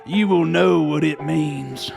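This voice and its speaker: A man's voice: on the high side, takes it slowly, gravelly, darkish tone, soft background music, exaggerated voice. A man voicing a vengeful gunslinger in his forties, theatrical and menacing, with an in a video game. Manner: theatrical, grandiose.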